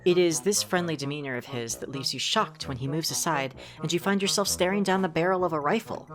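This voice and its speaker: A woman's voice: sits low, fairly quick, loud music behind the voice, close to an everyday voice. A woman acting as the wry, easily sidetracked narrator of a fan-dubbed visual novel, with conversational asides. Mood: wry, descriptive. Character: second-person narrator, wry, rambling, easily sidetracked visitor.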